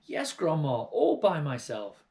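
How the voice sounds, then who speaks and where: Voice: medium pitch, huge pitch swings, measured pace, a little mellow, pitched above the performer's own voice. Anxious young boy, as a reader voices him. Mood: pleading. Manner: pleading, worried calls. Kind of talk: storybook read-aloud.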